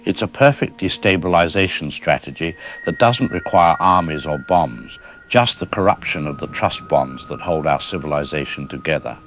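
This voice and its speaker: A man playing a serious, measured scientist explaining things as a radio interview guest in an audio drama. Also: extremely low pitch, expressive, fairly bright tone, lo-fi sound, faint music behind. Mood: grave, ominous.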